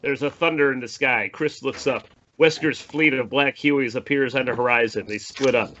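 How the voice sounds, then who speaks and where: A man's voice: medium pitch, normal speed, sharp tone. A man narrating the stage directions of a screenplay in an informal table read. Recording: dull lo-fi audio with faint noise.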